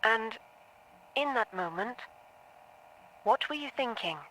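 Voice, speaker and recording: An actress playing a detached therapist in an audio drama, asking probing questions in a casual, clinical manner. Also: female voice, on the high side, expressive, slow pace, fairly bright tone, slight background noise, low-quality recording. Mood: detached curiosity.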